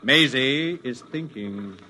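An actor playing a superior, condescending scientist in an old-time radio drama, speaking formally and precisely. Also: male voice, medium pitch, expressive, takes it slowly. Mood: officious. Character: aloof, superior male scientist of a thinkers' foundation.

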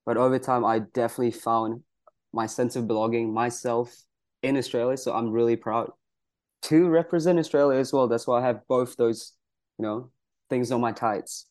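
Young male wrestler from Malaysia, earnest and proud, mid-pitch, filler-heavy casual answers. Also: fairly even intonation, moderate pace, a little mellow. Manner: earnest, proud, many "you know" fillers. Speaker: pro wrestler guest.

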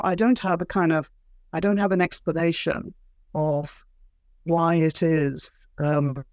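A woman's voice: low-pitched, darkish tone, dry recording. A woman, an author being interviewed, who answers thoughtfully and hesitantly with many fillers and restarts. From Britain. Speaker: author and guest.

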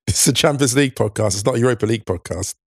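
A man in his forties co-hosting a podcast, croaky, laughing and self-deprecating in casual chat. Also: medium pitch, rapid-fire, no room sound, studio quality. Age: 40s. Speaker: podcast co-host.

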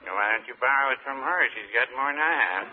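Heard on a tinny vintage radio recording, a male actor plays a mild, unhelpful pharmacist. His mid-pitched voice is dry and unruffled, politely literal in the manner of old radio comedy. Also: expressive, quick pace, very noisy, close to an everyday voice.

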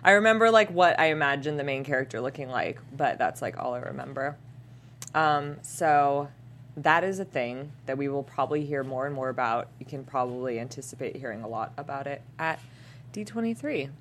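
Low-voiced chatty woman host. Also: lively intonation, a little fast, slight background noise. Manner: chatty, giggly, lots of "like". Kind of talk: casual news chat.

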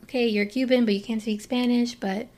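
A woman's voice: on the high side, fairly even intonation, brisk, a little mellow. A young woman from Florida talking casually to camera, rambling with lots of fillers and restarts as she opens up. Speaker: vlogger. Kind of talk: casual personal talk to camera.

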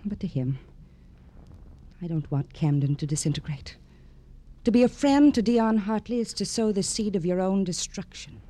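An actress playing a cool, bitter, articulate magazine illustrator in an old radio drama. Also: female voice, medium pitch, expressive, moderate pace, dark tone, lightly acted. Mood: bitter, intense. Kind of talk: 1940s-50s radio drama acting.

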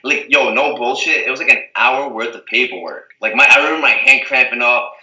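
Young man from the Azores, rambling casual talk, very bright tone, roomy echo, sounds far from the mic. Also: mid-range voice, fairly quick. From Portugal, the Azores. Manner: rambling, heavy use of "like" and "you know what I mean". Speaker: guest, reality TV contestant. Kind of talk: casual interview chat.

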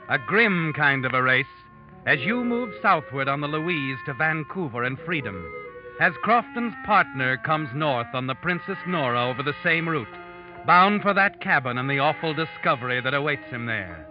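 Man acting as knowing, ominous storyteller, old-time radio drama, second-person narration, slightly high male voice, deliberate, taunting, music behind. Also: animated, close to an everyday voice. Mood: taunting, foreboding. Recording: dull vintage radio recording, music behind.

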